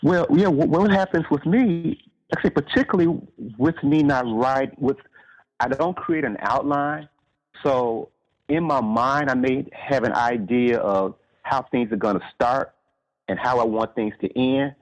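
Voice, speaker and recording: A man's voice: mid-range voice, measured pace. A man talking casually as an author in an interview, reflectively explaining things step by step and restating his phrases. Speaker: author in conversation about writing. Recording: slightly dull, lo-fi radio-like sound.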